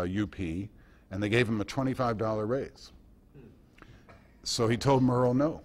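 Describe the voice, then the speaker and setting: A man giving an informal talk and answering questions in a halting, reflective storytelling manner. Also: medium pitch. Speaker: guest speaker.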